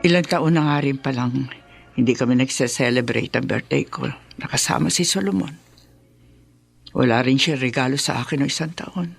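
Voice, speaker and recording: Older mom, hurt n wistful, gravelly low-ish voice, radio drama. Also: female voice, moderate pace, faint music behind, full character voice. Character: aging, wistful mother hurt by neglect.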